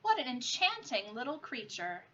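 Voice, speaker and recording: A woman's voice: sits high, sing-song, moderate pace, fairly bright tone, dull audio, a little hiss or hum. A woman voicing a refined, timid male music teacher with precise, flowery diction in a storybook reading. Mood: delighted, hesitant.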